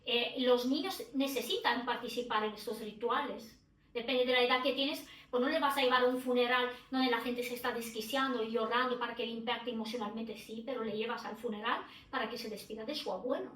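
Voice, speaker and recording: A middle-aged woman talks reflectively on a podcast, often pausing and restarting her sentences. She sits some distance from the mic in an echoey room. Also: a little high, steady tone, fairly bright tone. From Romania. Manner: reflective, many restarts and fillers. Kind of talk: podcast conversation.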